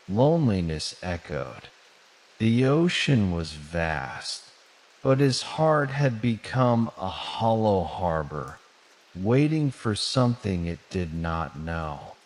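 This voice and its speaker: A man reads a bedtime tale at an extremely slow pace, gently and soothingly. His fairly low voice rises and falls with measured storybook drama, carried in a long reverb. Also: big pitch swings.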